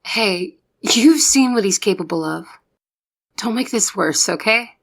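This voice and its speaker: Girl playing a rookie secret agent, good cop in an audio roleplay, croaky medium voice, persuasive, trying to reason with you. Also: medium pitch, lively intonation, measured pace. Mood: protesting, reasoning. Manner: persuasive, reasoning, exasperated with partner. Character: younger female trainee secret agent, coaxing good cop. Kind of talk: ASMR roleplay audio drama.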